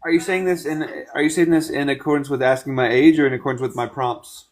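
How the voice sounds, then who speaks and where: A man's voice: mid-range voice, fairly even intonation, fast talker. Young man hosting a casual live chat. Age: 20s. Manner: talkative, interrupts to press his question. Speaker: livestream host.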